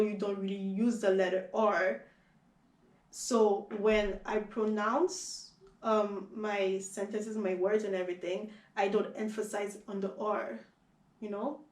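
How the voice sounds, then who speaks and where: A young woman from Haiti with a Haitian accent, a Creole speaker, chatting as an interview guest, animated and full of fillers and restarts. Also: mid-range voice, reverberant, recorded from a distance, rough recording. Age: young adult. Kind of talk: casual conversation.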